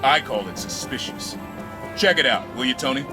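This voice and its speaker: A man's voice: medium pitch, lively intonation, normal speed, close to an everyday voice. Terse male spymaster played by an actor, music behind. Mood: serious, suspicious. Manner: clipped, matter-of-fact. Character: terse, serious male spymaster giving briefings. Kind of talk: video game voice acting.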